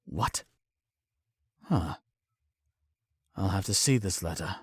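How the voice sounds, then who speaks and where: Forceful knight commander, lively pitch swings, dry close sound, audio drama. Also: male voice, slow pace, slightly rough, no echo, exaggerated voice. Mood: urgent.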